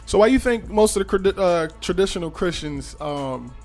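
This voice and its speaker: Relaxed agreeable male radio host. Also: sits high, brisk, faint music behind. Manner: relaxed, agreeable, backchannels a lot. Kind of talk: casual interview.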